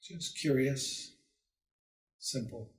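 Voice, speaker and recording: Slow-paced man guiding meditation, roomy echo. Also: mid-range voice. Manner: calm, soothing, with long pauses between short phrases. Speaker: meditation teacher. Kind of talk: guided meditation. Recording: roomy echo, distant microphone.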